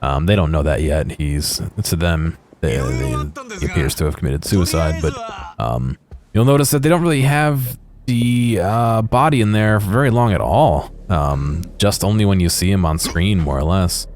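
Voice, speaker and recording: A man giving unscripted commentary on video game footage in a hesitant, rambling, low-key way. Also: animated, moderate pace, a crowd in the background.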